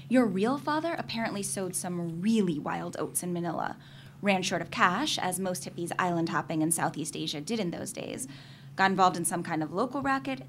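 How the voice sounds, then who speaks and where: A woman's voice: fairly low pitch, natural voice. An actress playing a curious, persistent young woman in a dramatic reading of novel dialogue, casual and probing. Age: young adult. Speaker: actor in a staged reading. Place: indoor stage at a public reading. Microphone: microphone on a stand. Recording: noisy live stage recording.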